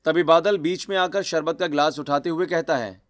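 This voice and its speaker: A man narrating a scripted family story for an animated video. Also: a little high, moderate pace.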